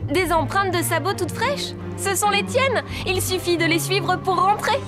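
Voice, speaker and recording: High-voiced, gentle young girl rider. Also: normal speed. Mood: reassuring. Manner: soothing, talks reassuringly to a horse. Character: gentle, worried young girl horse rider, lost on trail. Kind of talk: French animated series dub. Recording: background music under voice.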